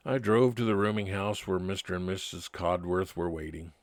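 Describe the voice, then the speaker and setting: A man playing a kindly, laconic 1950s private detective, narrating his case in old-time radio drama style with measured, plain-spoken delivery. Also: deep voice, steady tone, dry recording, natural voice. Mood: reflective. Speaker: narrator and lead actor.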